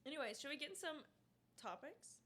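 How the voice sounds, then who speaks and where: A woman in her twenties co-hosting a podcast in casual, joking chat, laughing often, with a slightly rough, gravelly voice. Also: high voice, expressive, brisk, bright, forceful tone. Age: 20s. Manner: joking, giggly, chatty. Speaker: podcast co-host.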